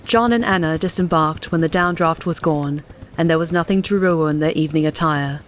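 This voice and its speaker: A woman's voice: deep voice, moderate pace, a little hiss or hum. A woman reading scripted fiction as an audiobook narrator. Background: faint music.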